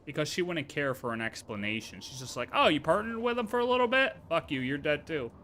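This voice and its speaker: A man livestreaming a game with casual, excitable commentary, emphatic, repetitive and sweary, over game music. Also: fairly high pitch, a little fast, a little hiss or hum. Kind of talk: casual live reaction commentary.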